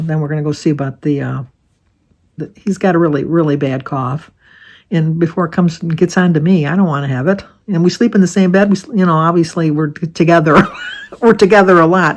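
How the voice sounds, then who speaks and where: A woman in her seventies talks to her viewers in a loose, wandering way, drifting from one subject to the next. Her voice has a slight rasp. She sounds relaxed and chatty, speaking from home. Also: lowish voice. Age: 70s. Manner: rambling, chatty, wanders off topic. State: slightly raspy voice. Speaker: vlogger. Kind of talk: casual chat to camera.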